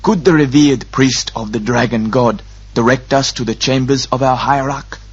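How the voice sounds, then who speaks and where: A man's voice: medium pitch, normal speed, breathy edge, dull audio, natural voice. A man voicing a wily old sorcerer who poses as a humble pilgrim, speaking formally in a guttural invented accent. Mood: humbly deferential. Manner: formal, deferential.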